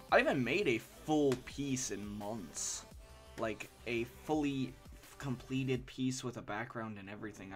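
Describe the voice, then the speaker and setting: A young man live-streaming his drawing session, sniffly from allergies and chatting in a rambling, halting, apologetic way. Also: lowish voice. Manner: rambling and halting, with frequent restarts; self-deprecating and apologetic. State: sniffly, stuffy nose from allergies. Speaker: art streamer. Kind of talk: casual live-stream chat while drawing. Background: soft background music.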